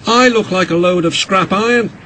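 A man's voice: very high-pitched, normal speed, pushed and bright, slight background noise, voice pushed up. A man voicing a proud, easily huffy young male steam engine in a children's storybook. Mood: sorry, groaning. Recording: music behind the voice.

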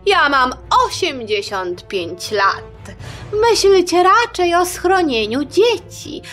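A woman's voice: sits high, big pitch swings, slow pace, fairly bright tone, soft background music, voice pushed up, full character voice. A woman voicing an unflappable, imperious princess of eighty-five in a storytelling podcast, nonchalant and commanding.

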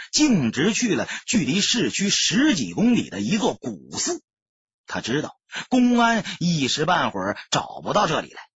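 A man narrating an audiobook in a dramatic, emphatic storyteller's style, with a husky, rough-edged voice. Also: on the high side, no room sound. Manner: expressive, emphatic storytelling delivery. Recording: dull lo-fi audio, dry sound.

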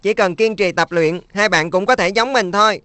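Someone doing a humble cartoon schoolboy, soft reassuring talk, dry studio sound. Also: medium pitch, moderate pace, no echo, natural voice. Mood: modest calm. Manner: gentle, modest, reassuring. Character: modest diligent schoolboy, top of class. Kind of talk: cartoon dubbing.

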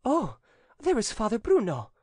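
Narrator putting on an excitable, high-pitched chattering girl. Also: normal speed, no echo, clean studio sound, natural voice. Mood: excited, eager. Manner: gushing, exclaiming, impulsive. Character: excitable, chattering young Italian girl in a crowd.

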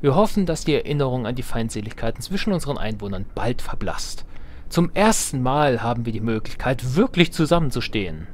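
A man's voice: mid-range voice, sing-song, a little fast, a little hiss or hum. A man hosting a let's play, commenting casually on the game with self-ironic, playful asides and reading game text aloud. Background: game music.

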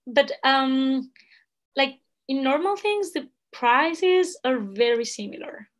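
Young woman, Spanish-accented English, high voice, slow and halting, laptop-mic video chat. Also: animated. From Spain. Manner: hesitant, many fillers, laughs easily. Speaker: guest language assistant. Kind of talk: casual video call chat. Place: indoor room at home. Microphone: laptop webcam video call.

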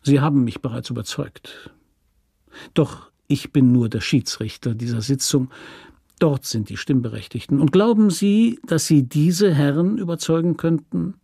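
A man's voice: a little high, moderate pace, creaky, mellow, breathy edge, dry recording, clean studio sound. A man voicing an evasive, mocking governor in a German radio story, formal, courteous and condescending. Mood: coolly dismissive. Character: smooth, mocking, evasive male state governor.

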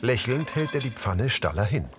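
A man's voice: medium pitch, very animated, moderate pace, background noise. A man reading scripted audio description, narrating the scenes of a drama.

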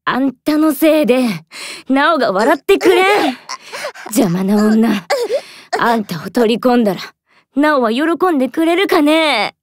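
An actress playing a jealous, devoted fox spirit girl in a Japanese voice drama, speaking a western Japanese dialect with drawn-out, intense emotion. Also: high-pitched, expressive, no echo, studio quality. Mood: menacing.

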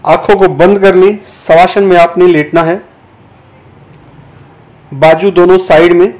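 A man giving guided yoga nidra instruction as a teacher, in measured, deliberate short phrases with pauses between them. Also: high voice, steady tone, a little mellow, low-quality recording. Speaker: yoga teacher guiding a meditation.

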